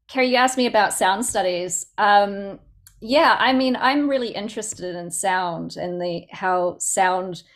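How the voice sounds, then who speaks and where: A woman with a Southland New Zealand accent, answering questions as a poet in an informal, thoughtful way, often searching for her words. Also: lowish voice. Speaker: poet and guest speaker. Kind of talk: informal question-and-answer talk at a poetry event.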